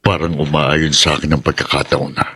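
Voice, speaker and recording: A man's voice: extremely low pitch, flat delivery, a little fast, noisy background. An actor playing a murderous, gloating henchman of a crime boss in a radio drama. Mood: watchful, scheming.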